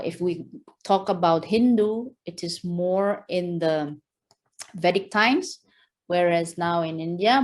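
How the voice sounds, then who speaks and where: A woman from West Java with an Indonesian accent, giving a lecture and quizzing her audience with questions. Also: on the low side. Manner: engaging, quizzes the audience, frequent rhetorical "right?"; enthusiastic, laughs often. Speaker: guest lecturer.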